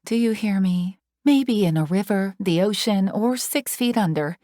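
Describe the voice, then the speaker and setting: A woman's voice: medium pitch, animated, a little slow, warm tone, no echo, clean studio sound, voice pushed up, close to an everyday voice. A woman voicing a cruel, menacing woman caretaker who threatens a patient.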